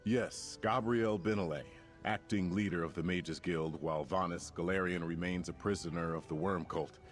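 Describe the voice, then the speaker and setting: An actor playing a formal, measured prince and leader in a video game. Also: male voice, deep voice, fairly even intonation, faint music behind, close to an everyday voice. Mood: grave briefing. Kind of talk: scripted game character dialogue.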